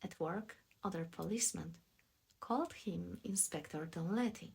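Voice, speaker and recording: A woman from Poland reading scripted audiobook narration as a voice actress, in a soft, deliberate storytelling manner. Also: fairly low pitch, gravelly, slightly rough.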